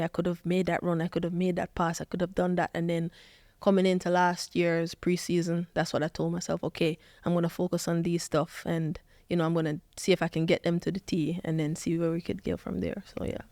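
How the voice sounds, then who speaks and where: A woman's voice: on the low side, fairly quick, no room sound, studio quality. A Jamaican woman in her twenties, a footballer telling stories as a podcast guest and acting out what people said. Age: 20s. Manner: animated storytelling, acts out quoted lines. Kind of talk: podcast interview.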